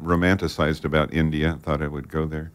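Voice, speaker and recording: A man hosting a casual interview program, asking questions in an informal, halting way. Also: very deep voice, little pitch movement, clean tone. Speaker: host of a talk program.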